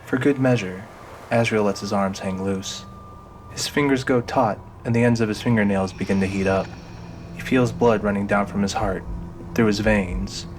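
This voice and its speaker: A man reading scripted, dramatic narration for an audio drama. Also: on the low side. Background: music.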